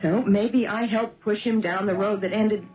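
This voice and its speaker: An American actress playing a troubled, determined detective's wife who pleads hesitantly in a 1970s radio drama. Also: female voice, medium pitch, fairly even intonation, moderate pace, over music, lightly acted. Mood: troubled, pleading. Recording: tinny old radio broadcast.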